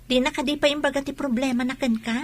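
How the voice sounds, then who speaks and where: An actress with an Ilocano Filipino accent playing a concerned, questioning young student friend in a radio drama. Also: female voice, high voice, steady tone, fast, close to an everyday voice.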